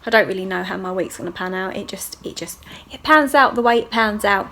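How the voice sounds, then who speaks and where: A woman's voice: medium pitch, fairly quick, pushed and bright, slight background noise. A woman, a hairdresser chatting casually to her viewers in a video diary, rambling from one thing to the next. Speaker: vlogger and hairdresser.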